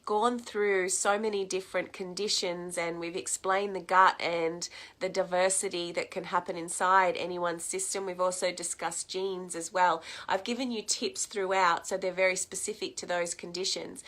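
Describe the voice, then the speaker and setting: A woman's voice: on the low side, fairly even intonation, moderate pace, pushed and bright. A woman hosting a health vlog, sounding snuffly from a cold, chatty and encouraging with frequent ums. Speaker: health series host. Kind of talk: casual health vlog advice.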